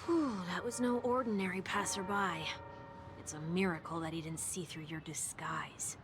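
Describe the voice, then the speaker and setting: An actress playing a hushed, curious female companion in a video game, with noise behind her. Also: on the low side, fairly even intonation, moderate pace, natural voice. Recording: clear background noise and ambience.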